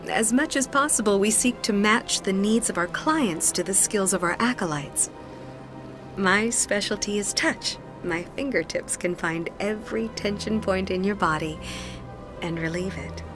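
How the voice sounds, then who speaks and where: A woman voicing a courteous, poised alien acolyte who receives clients in a video game, polite, soothing and measured. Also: mid-range voice, natural voice. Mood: serene, inviting. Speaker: receptionist acolyte. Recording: game music behind the voice.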